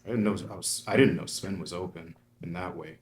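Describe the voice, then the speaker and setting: A man's voice: deep voice, brisk, slight background noise, close to an everyday voice. A man reading a guilt-ridden grieving man. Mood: guilty, confessional. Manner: quiet, confessional, heavy. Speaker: actor in a table read. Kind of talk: screenplay table reading.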